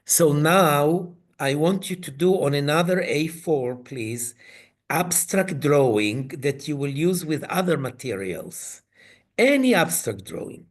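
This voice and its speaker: A man leading an online coaching webinar, speaking in slow, halting phrases. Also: fairly high pitch, animated. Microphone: video call.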